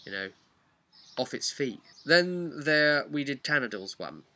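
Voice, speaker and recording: A man's voice: mid-range voice, very animated, unhurried, pushed and bright. A man telling a casual, unscripted recap of a tabletop game as its game master, rambling and using repetition for emphasis.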